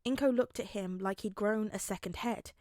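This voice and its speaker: A woman's voice: mid-range voice, normal speed, mellow, no room sound. Woman narrating fiction in a dry recording. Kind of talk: scripted audiobook narration.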